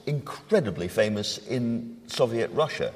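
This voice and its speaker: A man's voice: mid-range voice, expressive, a little slow. A man chairing a literary discussion and putting questions to the panel. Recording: reverberant hall.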